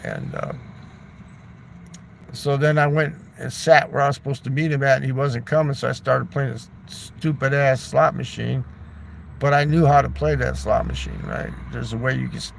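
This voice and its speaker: A man's voice: medium pitch, slight background noise. A man telling a long casual story, loose and chatty, swearing freely and tossing in "right?" and "man". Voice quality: gravelly. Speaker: livestreamer.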